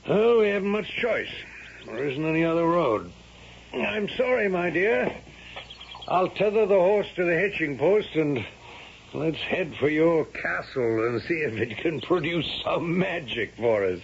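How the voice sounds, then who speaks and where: An actor playing a sensible, skeptical Victorian-era father who also tells the gothic tale in formal, measured words, in 1970s radio drama style. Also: a little high, expressive. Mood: dryly practical. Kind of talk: 1970s radio drama acting, part dialogue and part narration. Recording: noisy, muffled old radio broadcast.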